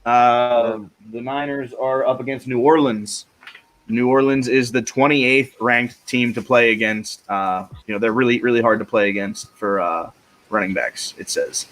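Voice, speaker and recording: A man with an average-pitched voice chats as a panelist on a sports livestream. His delivery is informal and a little halting, as if working out his picks aloud. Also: steady tone, a bit bright, rough recording. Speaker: panelist giving fantasy football advice. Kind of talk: casual livestream chat.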